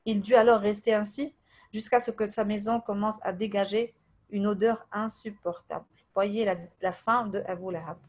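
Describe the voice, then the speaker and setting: A woman from Tunisia whose first language is Arabic, giving a religious lesson in French, hesitating often with fillers, reading translations and reciting verses quickly. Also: mid-range voice, fairly even intonation. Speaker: religious teacher. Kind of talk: religious lesson over voice messages.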